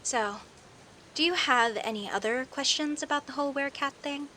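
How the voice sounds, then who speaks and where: A woman playing a friendly, teasing young werecat neighbor in an audio roleplay, chatting playfully to the listener with hesitant pauses and theatrical emphasis. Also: sits high, pushed and bright, a little hiss or hum. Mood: relaxed, curious. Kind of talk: audio roleplay, casual one-sided conversation with the listener.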